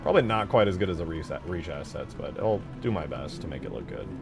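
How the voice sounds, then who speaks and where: A man's voice: low voice, animated, fast talker, loud music behind the voice. A man streaming his game modding work, talking informally and thinking aloud. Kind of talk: casual livestream chat.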